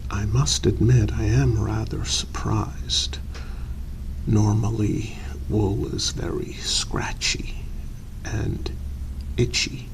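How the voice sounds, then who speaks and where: A man in a soft-spoken ASMR role-play, playing a courteous camp official after the apocalypse with a breathy murmur and formal, measured phrasing. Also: lowish voice, slight background noise, heavily acted, low-quality recording. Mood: quietly impressed. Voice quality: breathy whispery murmur with gravelly creak. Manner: measured, pausing, polite and formal. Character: courteous male post-apocalyptic camp official vetting newcomers.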